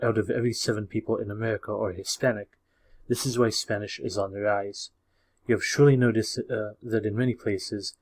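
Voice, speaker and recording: A man whose first language is Hungarian hosts a video and delivers a scripted opinion monologue in a measured, explanatory way, often posing rhetorical questions to the viewer. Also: fairly low pitch, darkish tone. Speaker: video host.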